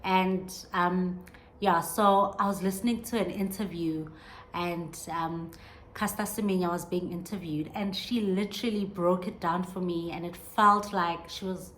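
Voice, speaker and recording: A woman's voice: fairly low pitch, fairly even intonation, unhurried, fairly bright tone, a little hiss or hum. Earnest woman reflecting on a podcast. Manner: earnest, searching, many restarts and fillers. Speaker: podcast host. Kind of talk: reflective solo monologue.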